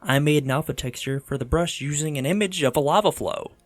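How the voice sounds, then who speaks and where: A man's voice: fairly high pitch, fairly quick, no room sound. A man giving a theatrical, tongue-in-cheek voice-over narration with comic asides, as the host of an art video. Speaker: video host, 3D monster artist. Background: background music.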